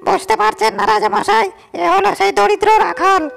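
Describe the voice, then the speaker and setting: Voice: extremely high pitch, level tone, a little fast, gravelly, fairly bright tone, faint music behind, heavily acted. A performer voicing a greedy, jealous army general in a storybook cartoon, speaking indignantly. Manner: indignant, protesting.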